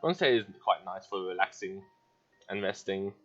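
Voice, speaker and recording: A woman's voice: extremely low pitch, lively intonation, normal speed, over music. A woman giving casual, offhand gameplay commentary with short reactions, on a dull-sounding microphone. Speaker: gamer commenting on a playthrough.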